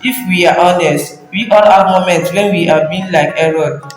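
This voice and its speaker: A man's voice: high-pitched, normal speed. A young man giving a scripted devotional narration for a nativity play. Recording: echoey hall, long reverb, music underneath.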